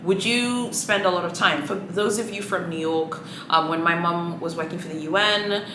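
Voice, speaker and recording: Swahili-native woman vlogger, low voice, chatty and sassy, echoey room with audible noise. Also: moderate pace. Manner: chatty, many fillers, sassy asides. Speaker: luxury shopping vlogger. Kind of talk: casual chat to camera.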